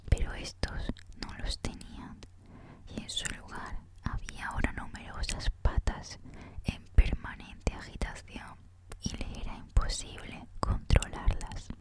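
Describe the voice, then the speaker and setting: Whispering woman reading a book. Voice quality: breathy, unvoiced whisper. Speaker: reader, host of a reading series.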